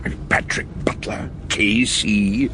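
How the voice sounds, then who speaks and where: A man playing an eccentric, scholarly detective in a radio drama, speaking in a wry, deliberate way, with noise behind him. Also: fairly low pitch, steady tone. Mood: wry, cautioning. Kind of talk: radio drama acting and narration.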